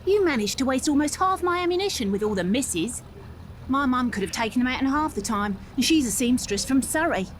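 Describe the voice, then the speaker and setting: An actress playing a tough, sarcastic young rat boat captain with a British accent, brash and no-nonsense, in a video game. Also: female voice, high voice, lively intonation, brisk, a little hiss or hum. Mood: scolding.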